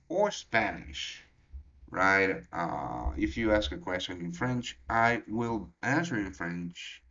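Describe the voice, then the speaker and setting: Slow, low-voiced man on a livestream. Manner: relaxed, halting, many fillers; reads and answers chat directly. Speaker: trading show host. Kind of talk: casual livestream market talk. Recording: dull lo-fi livestream audio.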